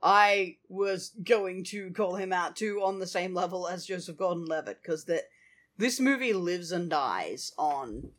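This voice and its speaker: A woman co-hosting a casual podcast about an animated film, speaking in a lively way and laughing easily. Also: fairly low pitch, a little slow. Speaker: podcast co-host.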